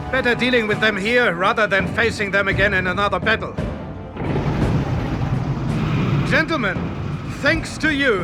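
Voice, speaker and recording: An actor playing a confident wartime general, giving authoritative, formal mission briefings in a video game. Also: male voice, extremely high pitch. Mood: proud, triumphant. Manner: authoritative, formal, self-assured. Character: confident, commanding male German panzer general in wartime.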